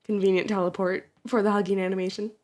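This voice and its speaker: A woman's voice: medium pitch, little pitch movement, fast talker, darkish tone. A woman voice actor making wry, irreverent asides about the game in a casual way. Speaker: fan voice actor commenting on her playthrough.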